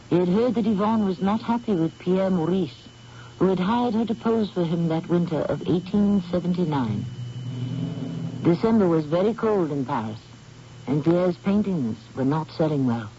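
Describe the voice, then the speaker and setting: A man hosting and narrating a radio drama in a measured, formal storytelling manner. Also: sits high, vocal fry, dark tone. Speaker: host and narrator. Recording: dull old radio broadcast, faint hiss.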